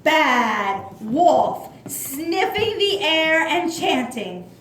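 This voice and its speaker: Animated high-voiced woman telling a children's story. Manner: theatrical, drawn-out. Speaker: puppet show narrator. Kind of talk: scripted children's story narration. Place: echoey room at a library. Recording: echoey room with clear background noise.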